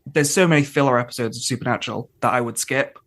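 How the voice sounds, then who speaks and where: A man's voice: medium pitch, fast talker, a bit bright. Rambling man chatting on a podcast. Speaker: podcast host. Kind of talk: casual TV episode discussion.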